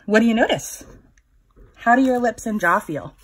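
A woman reading a picture book aloud to young children in a gentle, instructive way, with a slightly husky voice. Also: fairly high pitch, lively intonation. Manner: gentle, instructive, pausing to put questions to the child listener. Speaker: children's story reader.

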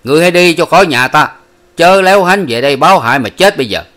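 Male reader voices enraged elderly parent; raised pitch, shouting. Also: animated, fast talker. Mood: furious. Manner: shouting, cursing. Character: furious old parents, harsh and unforgiving.

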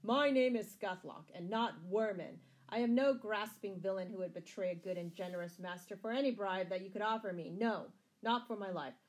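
A woman's voice: fairly low pitch, normal speed, darkish tone, lightly acted, poor audio. A woman voicing a proud, loyal male outlaw captive in a storybook, with ringing, declamatory heroic speech. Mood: defiant.

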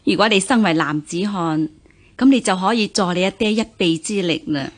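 An actress playing a stern but caring middle-aged mother in a historical radio drama, measured and dignified, with a Hong Kong Cantonese accent. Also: mid-range voice, natural voice. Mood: grave, regretful. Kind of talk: radio drama acting in historical costume piece.

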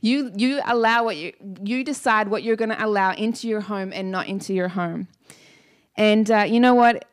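A woman in her thirties preaching a sermon on a church stage into a handheld mic, energetic and emphatic, repeating key lines. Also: mid-range voice, moderate pace. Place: church stage with dark blue lighting. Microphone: handheld microphone.

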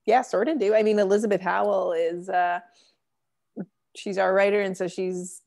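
A woman's voice: mid-range voice, fairly even intonation, moderate pace, gravelly, a little mellow. Chatty young woman on a video call. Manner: chatty, frequent fillers and laughs. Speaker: magazine editor-in-chief. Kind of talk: casual video call chat. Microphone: earbud headset on video call.

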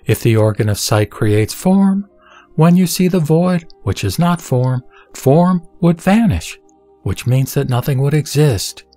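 A man reading Buddhist scripture from a script in a measured, didactic manner. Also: a little high, expressive, dark tone. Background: background music.

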